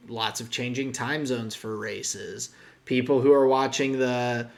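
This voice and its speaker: A young man co-hosting a podcast, talking in a loose, rambling way with frequent restarts. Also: mid-range voice. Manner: rambling, restarts often, guesstimates. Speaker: podcast co-host. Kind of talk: casual chat.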